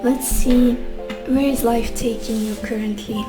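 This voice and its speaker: A woman, a little sick and losing her voice, giving a gentle tarot reading to her viewers and musing aloud with soft hesitations. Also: fairly high pitch, fairly even intonation, unhurried, over music. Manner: gentle, addresses viewers warmly as beautiful souls. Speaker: tarot reader.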